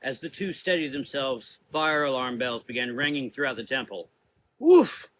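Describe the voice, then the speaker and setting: A man's voice: mid-range voice, moderate pace, bright, forceful tone. A man narrating a scripted gothic story in a dramatic style.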